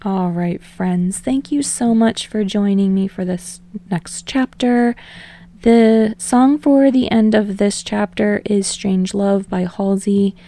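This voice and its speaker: Casual, creaky-voiced woman host. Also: medium pitch, slow pace, mellow. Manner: hesitant, informal, rambling. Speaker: podfic host. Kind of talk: casual chat to listeners.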